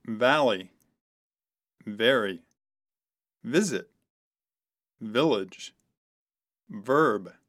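A woman reading model dialogues and word drills for a language course, with careful, clear articulation. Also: rumbling low, animated, extremely slow, pauses often.

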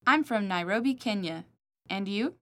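A woman's voice: mid-range voice, animated, normal speed, sharp tone. A woman reading model dialogues and repeated words for a language course, articulating each one carefully. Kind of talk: scripted model dialogues and drills.

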